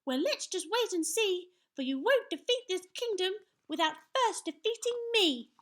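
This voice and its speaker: A woman voicing a brave, eager six-year-old boy knight in a children's storybook, excitable and declaiming. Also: extremely high pitch, moderate pace, pitched above the performer's own voice. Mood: bold defiance.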